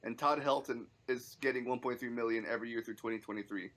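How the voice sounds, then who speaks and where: A man's voice: medium pitch, steady tone, fast, fairly bright tone, low-quality recording. A man around thirty chatting casually about sports on a podcast, informal and emphatic in his banter. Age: 30s.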